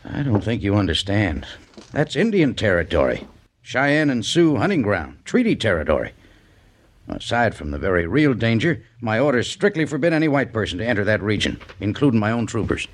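An actor playing a courteous but firm middle-aged army major at a frontier fort, in a formal 1950s radio drama style. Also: male voice, on the low side, very animated, fairly quick, slight background noise. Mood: firm, concerned.

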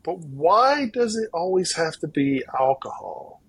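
Husky-voiced male podcast host. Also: a little high, big pitch swings, a little slow, a bit bright, no room sound. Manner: upbeat, friendly, with many fillers. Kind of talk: podcast interview.

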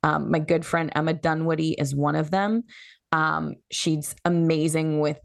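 A woman's voice: low-pitched, steady tone, measured pace, vocal fry, dry recording, studio quality. A woman who works as a human design coach, explaining her methods as a podcast guest in a coaching tone. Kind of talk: podcast interview.

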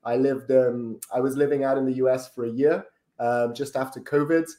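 A man's voice: mid-range voice, fairly even intonation, moderate pace, dark tone. A Brazilian-born man, a podcast guest chatting casually with lots of ums and tag questions. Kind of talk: casual interview chat. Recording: roomy echo.

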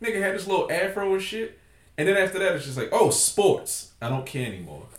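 A man hosting a casual podcast, talking in a loud, profane, joking way. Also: high-pitched, big pitch swings, a little fast, reverberant. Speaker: podcast host.

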